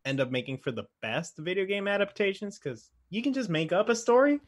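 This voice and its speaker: Lively-pitched man in casual banter. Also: a little fast. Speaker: podcast co-host. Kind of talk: casual podcast banter about games and films.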